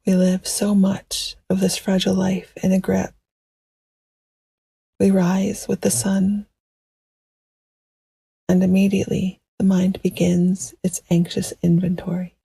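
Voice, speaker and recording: A woman guiding a meditation in a gentle, hushed, poetic style, with a soft, breathy voice that often nears a whisper. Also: mid-range voice, completely flat delivery, no echo. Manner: gentle, hushed, unhurried, soothing. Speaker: meditation guide. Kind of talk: slow poetic guided meditation narration.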